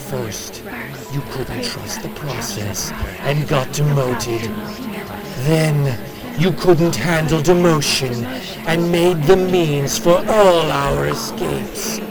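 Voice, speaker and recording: An actor voicing a cruel, mocking monster that speaks as "we" in an audio drama, slow and taunting with scornful laughs. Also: mid-range voice, low-quality recording.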